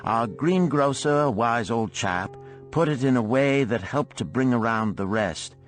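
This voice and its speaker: A man narrating an audiobook of parables and letters in a measured, expressive storytelling manner. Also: medium pitch, faint music behind.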